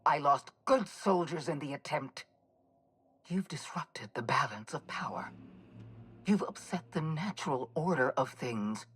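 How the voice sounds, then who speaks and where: A cold, weary military commander in a video game. Her husky voice delivers formal, measured menace with ruthless calm. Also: low-pitched, heavily acted. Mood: cold, reproachful. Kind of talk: video game villain monologue.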